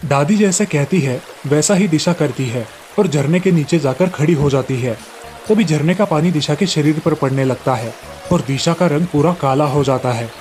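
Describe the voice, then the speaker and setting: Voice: mid-range voice, normal speed, darkish tone, faint music behind. A narrator reading a scripted moral story in storybook style.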